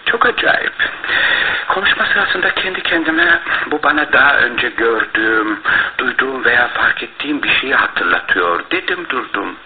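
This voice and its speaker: An actor playing a shrewd, self-assured detective with a courteous, theatrical manner in a radio drama. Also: male voice, medium pitch, expressive, moderate pace, natural voice. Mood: thoughtful, intent. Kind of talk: Turkish radio theatre acting. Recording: tinny, band-limited old radio broadcast, faint noise.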